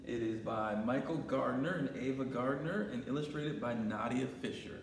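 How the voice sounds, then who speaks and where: A man reading a picture book aloud at a library storytime, pausing for chatty asides to his listeners. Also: mid-range voice, long reverb tail, a little hiss or hum, low-quality recording. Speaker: storytime reader.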